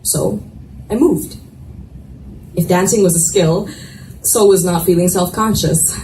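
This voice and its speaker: A woman reading romance fiction aloud as expressive first-person narration, with dramatic pauses, at a live event. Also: fairly low pitch. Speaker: reader of a romance novel. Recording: echoey room, distant mic, noisy ambience.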